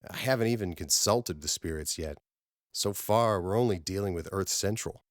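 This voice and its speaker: Sci-fi audiobook lead: male mission commander read by a man, low-pitched, steady, dry, unhurried authority. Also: no room sound, lightly acted. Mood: matter-of-fact.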